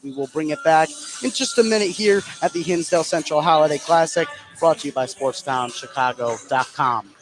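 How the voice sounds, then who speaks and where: A man's voice: fairly high pitch, poor audio. An American man doing live play-by-play basketball commentary on radio.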